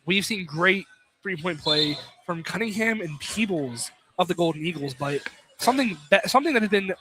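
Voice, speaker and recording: High-pitched male basketball announcer, loud gym noise. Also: normal speed. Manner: excitable, exclamatory. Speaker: play-by-play announcer. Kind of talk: live college basketball radio commentary. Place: indoor college field house during a game. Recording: noisy live gym broadcast.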